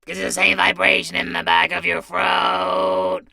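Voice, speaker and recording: A man voicing a manic carnival chaos figure with a gravelly, vibrating throat-sung voice, shouted out loudly. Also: high voice, big pitch swings, unhurried, a crowd in the background, pitched above the performer's own voice, exaggerated voice.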